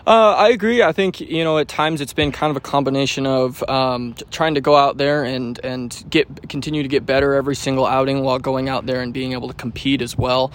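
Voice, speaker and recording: A man in his twenties, a baseball pitcher, answering pregame interview questions in a relaxed, rambling way with many fillers and restarts. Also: brisk, few pauses, slight background noise. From United States. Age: 20s.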